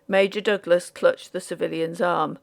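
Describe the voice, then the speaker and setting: A woman reading scripted audiobook narration in a measured, deliberate way, as for a Victorian ghost story. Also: lowish voice, steady tone, gravelly.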